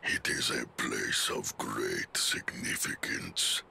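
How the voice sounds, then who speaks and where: An actor playing an ancient, solemn giant elder in a video game, gravelly and formally grave in speech. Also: male voice, slow, sharp tone, a little hiss or hum, full character voice. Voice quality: gravelly, rumbling. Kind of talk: scripted video game cutscene acting.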